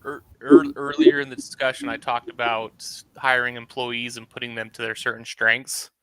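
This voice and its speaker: A man's voice: mid-range voice, flat delivery, moderate pace, pushed and bright, clean tone, no echo, rough recording. A man who runs a landscaping business, talking casually on a video call panel with lots of fillers. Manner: conversational, with frequent fillers.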